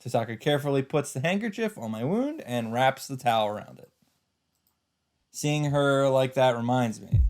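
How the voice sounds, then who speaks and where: A man giving casual let's play commentary and reading visual novel narration aloud in a halting, rambling way. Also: medium pitch, expressive, darkish tone.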